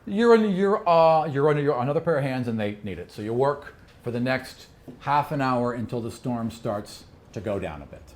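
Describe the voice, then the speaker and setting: A man's voice: medium pitch, animated, moderate pace. A man narrating a tabletop role-playing game as game master, moving between scripted description and conversational, improvised scene-setting with repeated phrases.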